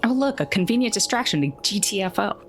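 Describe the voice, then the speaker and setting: A woman's voice: medium pitch, lively intonation, quick pace, slight background noise. A woman talking casually as a player in a tabletop game.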